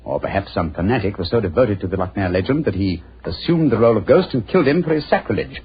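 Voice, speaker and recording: An actor playing a shrewd, self-assured English detective in a 1940s radio drama, with a British accent and a crisp, analytical manner. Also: male voice, lowish voice, big pitch swings, fast, full character voice. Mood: brisk, deducing. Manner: crisp, analytical, slightly condescending. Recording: muffled, noisy old 1940s radio recording.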